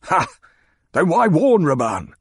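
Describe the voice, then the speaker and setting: A man's voice: a little high, huge pitch swings, slow pace, slightly rough, no room sound, clean studio sound, voice pushed up, heavily acted. A man voicing a fat, petulant, demanding baron who blusters and growls. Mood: blustering.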